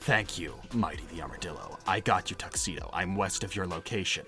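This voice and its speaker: A man's voice: deep voice, fairly quick, natural voice. A man playing a stern, protective cartoon hedgehog father in improvised roleplay, speaking in an insistent, clipped way. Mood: serious. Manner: insistent, clipped, indignant when crossed. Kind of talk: improvised in-character cartoon roleplay, reading donation messages aloud. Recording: loud music under the voice. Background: loud music.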